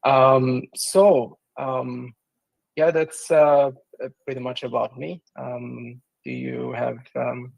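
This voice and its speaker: A man's voice: mid-range voice, little pitch movement, takes it slowly, vocal fry, darkish tone, no room sound, low-quality recording. A man from Turkey, a project's regional business development director, speaking as a guest in a casual livestream interview and explaining with many ums and uhs.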